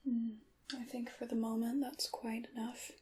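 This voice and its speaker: A woman voicing a polite, secretive witch shopkeeper in a hushed, unhurried ASMR roleplay, moving between whispers and soft formal speech. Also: on the high side, flat delivery, a little hiss or hum, lightly acted. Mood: calm, measured.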